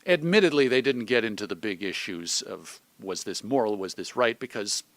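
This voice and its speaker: A middle-aged man with an American accent telling stories conversationally as an interview guest, reflective and a little halting. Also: on the low side, expressive, fairly bright tone, no echo. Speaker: radio host being interviewed.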